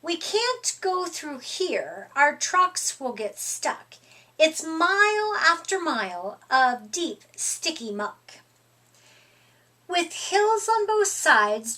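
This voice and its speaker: A middle-aged woman reading a picture book aloud to young children in an expressive, deliberate, emphatic way. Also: high voice. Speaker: storytime reader. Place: small furnished room indoors.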